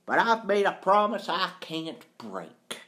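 A man's voice: high-pitched, very animated, slow pace, pushed and bright, pitched above the performer's own voice, exaggerated voice. A man voicing an honest, anxious caretaker in a storybook, earnest and defensive under accusation.

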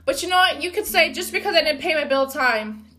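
Loud upbeat woman, high bright voice. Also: lively intonation, a little fast. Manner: loud, upbeat and encouraging, with laughs and casual fillers. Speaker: podcast host. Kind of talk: motivational solo podcast talk.

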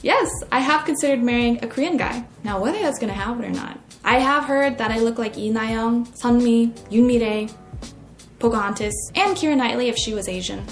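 Young female, American, expressive podcast essay narrator, higher-pitched voice, roomy sound. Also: brisk. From United States. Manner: expressive, acts out quoted remarks. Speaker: podcast host. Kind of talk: scripted personal essay narration. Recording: roomy echo, faint music.

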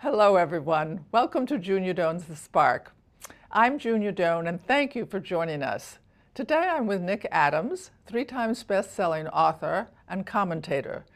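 A woman's voice: on the low side, lively intonation, normal speed. A woman hosting an interview podcast, introducing her guest with enthusiasm. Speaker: podcast host.

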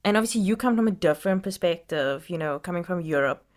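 A woman's voice: fairly low pitch, lively intonation, quick pace. Woman from Africa, interviewer, chatty, says "like" a lot. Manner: chatty, with filler "like". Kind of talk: interview questions.

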